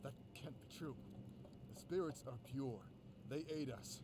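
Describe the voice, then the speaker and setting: A man's voice: mid-range voice, unhurried, noisy background, lightly acted. A man playing a solemn, protective and devout warrior in a scripted visual novel, speaking earnestly and formally. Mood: troubled, disbelieving.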